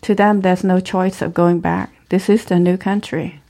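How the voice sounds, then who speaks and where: Low-pitched woman with a Cambodian accent. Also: level tone, moderate pace, mellow. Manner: earnest, encouraging, with simple non-native grammar. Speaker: former refugee and speaker. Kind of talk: reflective interview answers.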